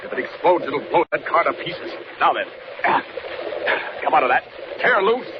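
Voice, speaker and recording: A stern, bold flying hero in a 1940s radio adventure. He is a man with a somewhat high voice who declaims each action with urgency. The sound is muffled and buried in heavy scratchy noise. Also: big pitch swings, normal speed. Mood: urgent. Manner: declamatory, urgent, narrates own actions aloud. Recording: scratchy, muffled, noisy old radio recording.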